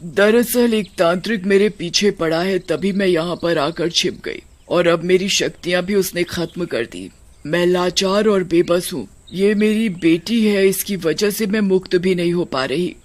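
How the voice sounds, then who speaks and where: A kind witch ghost played by a man speaks in a high voice. She is gentle and reassuring, a motherly spirit from an animated storybook tale. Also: measured pace. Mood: helpless, sorrowful. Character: kind female witch ghost, grateful then helpless mother.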